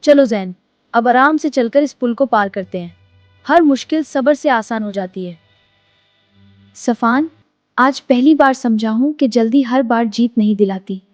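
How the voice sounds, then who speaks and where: A woman's voice: fairly high pitch, measured pace, a little mellow, dry recording, natural voice. A woman voicing a young boy adventurer in a children's cartoon, earnest, exclamatory and easily frightened. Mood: earnest wonder. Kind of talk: children's moral cartoon story acting. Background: music.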